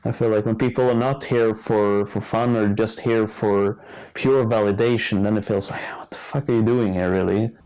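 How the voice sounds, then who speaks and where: A man's voice: lowish voice, normal speed, few pauses, poor audio. A young man from Sweden, a student, answering interview questions frankly and a little hesitantly. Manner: relaxed, frank, hesitant with fillers.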